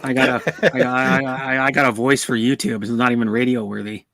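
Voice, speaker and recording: A man talks casually on a livestream at an average pitch. He rambles and stammers, often repeating words as he goes. The sound is dry, with no room echo. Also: steady tone, quick pace, fairly bright tone. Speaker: streamer. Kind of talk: casual car chat on a livestream.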